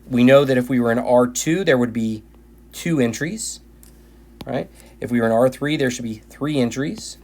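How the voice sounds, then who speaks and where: A man teaching linear algebra in a recorded video lecture, his voice tired and going hoarse, often restarting and repeating phrases. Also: medium pitch, darkish tone. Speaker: math teacher.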